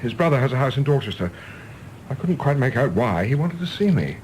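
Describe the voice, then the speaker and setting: An actor playing an earnest, formal British diplomat with a clipped, dry manner in a radio play. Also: male voice, medium pitch, very animated, brisk, a little mellow, slight background noise, close to an everyday voice, poor audio. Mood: matter-of-fact. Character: earnest, stiffly polite British diplomat and idealist son.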